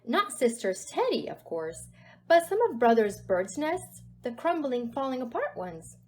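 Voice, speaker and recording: A woman's voice: fairly high pitch, very animated, measured pace, faint music behind. A woman narrating a children's storybook aloud expressively, with dramatic emphasis on key words. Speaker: storybook reader.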